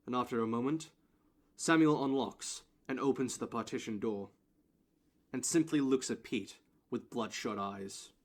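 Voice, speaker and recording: A man's voice: mid-range voice, measured pace. A man reading scripted narration for an audio play, telling the story scene by scene.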